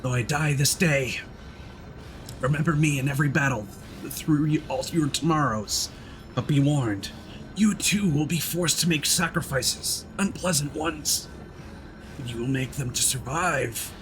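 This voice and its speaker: A man's voice: fairly high pitch, unhurried, fairly bright tone, faint music behind, pitched above the performer's own voice. A man voicing a bitter, self-righteous dying coward as he reads a letter from a video game in a theatrical, indignant manner. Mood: bitter indignation. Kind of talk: dramatic reading of game text.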